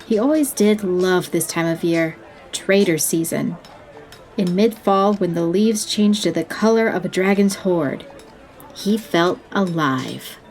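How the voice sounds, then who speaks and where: A woman's voice: lowish voice, measured pace, darkish tone, faint music behind. A woman reading scripted narration for a fantasy audio story.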